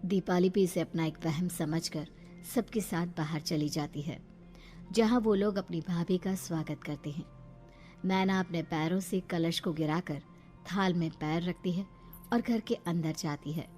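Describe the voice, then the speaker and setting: A woman's voice: fairly low pitch, measured pace, mellow. A woman delivering scripted narration of a horror story as its storyteller. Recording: music under the voice.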